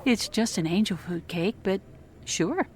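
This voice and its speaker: A woman's voice: mid-range voice, expressive, a little slow, slight background noise, close to an everyday voice. A woman playing a practical, hospitable farmer's wife in an audio drama, polite and plain-spoken. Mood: hospitable, matter-of-fact.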